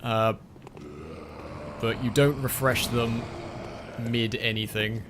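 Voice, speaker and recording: A weary man streaming a game, his voice worn and slightly slurred from hours of talking. He mutters dry, self-deprecating asides in a middle-pitched voice. Clear background noise sits under his voice. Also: little pitch movement, slow pace, a bit bright. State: tired, losing his voice. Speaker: let's play streamer. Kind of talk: casual gameplay commentary.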